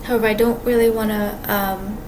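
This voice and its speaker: A woman with a quiet voice talks casually to camera, rambling and halting with many restarts and fillers. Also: mid-range voice, level tone. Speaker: vlogger. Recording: clear background ambience noise.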